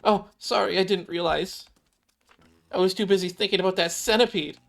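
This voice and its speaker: A streamer voicing an excitable young female survivalist from a video game, with gushing exclamations. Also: medium pitch, normal speed, gravelly, pitched above the performer's own voice, close to an everyday voice. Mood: sheepish. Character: excitable young woman survivalist, squeamish about bugs. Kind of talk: acted reading of video game dialogue.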